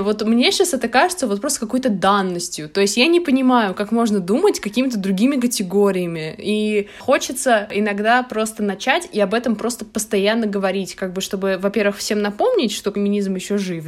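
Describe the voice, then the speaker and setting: Emphatic young woman chatting in slang. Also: mid-range voice. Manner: emphatic, slangy, drops in English phrases. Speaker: discussion host asking questions. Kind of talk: casual opinionated chat.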